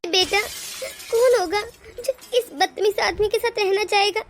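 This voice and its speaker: Lady doing a crying cartoon mom, beaten down, super high-pitched. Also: a little slow, slight background noise. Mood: tearful. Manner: sobbing, resigned. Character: long-suffering middle-aged mother-in-law, meek and tearful.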